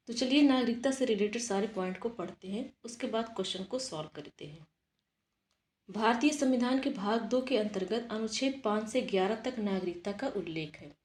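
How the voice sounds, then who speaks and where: A woman's voice: fairly high pitch, a little mellow, echoey room. A woman teacher giving an exam-prep lesson, explaining steadily and asking and answering her own questions.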